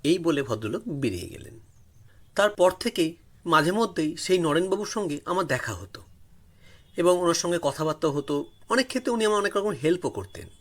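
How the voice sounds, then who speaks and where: A man's voice: on the high side, very animated, brisk, a bit bright. A man narrating a first-person story as a storyteller in a dramatic style. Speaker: storyteller, channel host.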